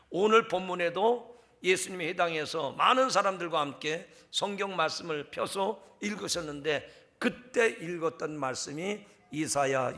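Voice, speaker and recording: Male pastor, sermon delivery, bright and fairly high voice. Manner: measured preaching, rising in intensity when telling the scripture story.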